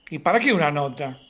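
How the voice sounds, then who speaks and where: A man's voice: fairly high pitch, husky, highs cut off, full character voice. An actor playing a nervous, distrustful, self-important wealthy man in a radio drama, hesitant and defensive.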